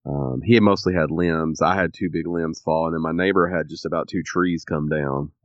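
Very deep, sniffly man chatting. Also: fairly even intonation, moderate pace, no room sound, dull audio. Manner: rambling, many ums and uhs. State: sniffly and a bit raspy from allergies. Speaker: podcast co-host. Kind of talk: casual chat.

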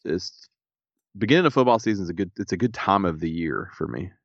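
A man, co-hosting a podcast in casual chat, a little stuffy and raspy, laid-back with dry humor. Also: low-pitched, lively intonation, brisk, no room sound, muffled recording. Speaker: podcast co-host.

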